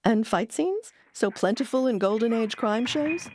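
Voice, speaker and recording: A woman hosting an audio documentary, reading scripted narration in a measured, explanatory way. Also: on the high side, lively intonation, noisy background. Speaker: documentary host. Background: music under the voice.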